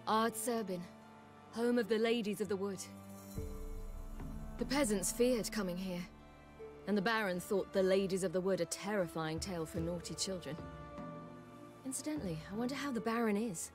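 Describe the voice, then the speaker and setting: Lady playing young british warrior woman, mid pitch, earnest n determined, fantasy game w/ soft music behind. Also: normal speed, long pauses, natural voice. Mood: reflective. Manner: earnest, urgent, formal phrasing. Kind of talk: cinematic fantasy game acting.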